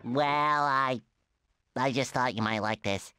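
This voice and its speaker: A man's voice: very high-pitched, big pitch swings, normal speed, bright, forceful tone, no echo, studio quality, heavily acted. A man voicing a naive, eager sea sponge in a cartoon video game, earnest, childlike and exaggerated. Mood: sheepish, eager. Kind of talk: animated video game voice acting. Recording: noticeable background noise.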